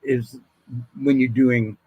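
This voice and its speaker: A man's voice: medium pitch, steady tone, slow, mellow, no room sound, highs cut off. Casual male guest, dry close sound. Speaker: voice actor, podcast guest. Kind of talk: casual anecdotal chat.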